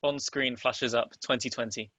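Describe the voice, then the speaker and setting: A man's voice: mid-range voice, steady tone, moderate pace, pushed and bright, no echo, muffled recording. A man reading stage directions as scripted narration at a table read.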